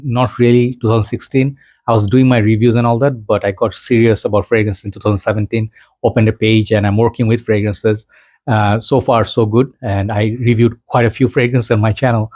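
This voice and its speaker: A man's voice: fairly low pitch, fairly even intonation, normal speed, darkish tone. A man from Bangladesh with a Bangladeshi accent, talking as a fragrance reviewer in an informal, rambling vlog chat addressed to his viewers.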